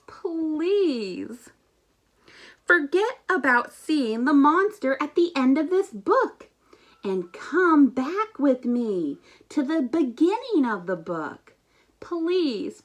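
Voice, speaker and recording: A woman's voice: high-pitched, very animated, extremely slow, exaggerated voice. Woman putting on an anxious, melodramatic furry monster. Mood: pleading. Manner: exasperated, emphatic, theatrical delivery. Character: anxious, dramatic, pleading furry male monster Grover.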